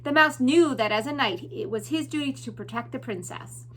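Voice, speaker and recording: Bright, high-voiced woman teacher reading aloud. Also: moderate pace, a little hiss or hum. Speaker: elementary school teacher. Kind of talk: class announcements and expressive story read-aloud.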